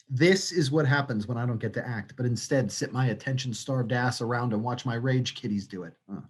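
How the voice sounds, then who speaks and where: A man's voice: medium pitch, slightly rough. A man delivering a scripted introduction speech with theatrical, wry, self-mocking asides. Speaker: theater company director and playwright introducing a livestream reading.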